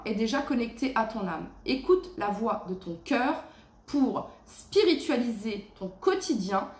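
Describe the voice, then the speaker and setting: A woman giving a casual tarot reading at home, chatty and full of fillers, reading the card texts slowly and gently. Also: medium pitch, roomy sound. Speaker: tarot reader. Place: her home. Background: cleanup noise outside.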